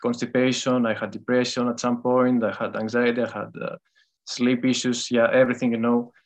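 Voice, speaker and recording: A man's voice: medium pitch, little pitch movement, fairly quick. A man, an English speaker calling in and talking hesitantly with many fillers. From Greece. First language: Greek. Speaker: caller.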